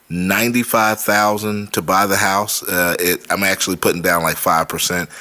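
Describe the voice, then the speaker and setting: Male vlog host, low voice, casual and informal delivery, drives points home by repetition. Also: a bit bright. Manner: informal, repeats points for emphasis. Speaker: host of a money and real estate vlog. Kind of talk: casual vlog talk to viewers.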